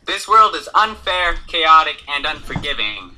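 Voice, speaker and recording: A man's voice: a little high, sing-song, a little slow, sharp tone, slight background noise, exaggerated voice, rough recording. A man reading as a solemn narrator in an anime fandub script. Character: solemn narrator of urban legends and an unfair world.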